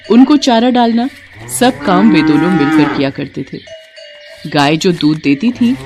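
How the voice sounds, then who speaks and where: A woman reading a scripted devotional moral tale as a storyteller. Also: low voice. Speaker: narrator.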